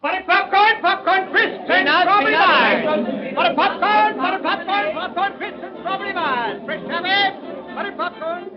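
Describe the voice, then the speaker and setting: A performer playing a vendor who hawks popcorn in sing-song calls at a grandstand, in an old radio play. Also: male voice, very high-pitched, normal speed. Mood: hawking. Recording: dull old radio, crowd noise.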